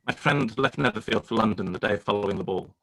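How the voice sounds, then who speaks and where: Man doing a proud formal gentleman, lowish bright voice, measured delivery. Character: proud reserved young gentleman writing a letter. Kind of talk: live-read radio play.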